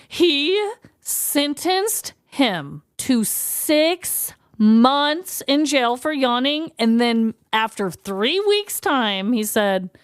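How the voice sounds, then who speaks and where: Woman podcast cohost, chatty storyteller, swoopy lively voice, dry close sound. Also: high voice, drawn-out words, clear, resonant tone, no room sound. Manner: animated storyteller, laughs often. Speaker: podcast co-host. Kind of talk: casual true-crime podcast chat.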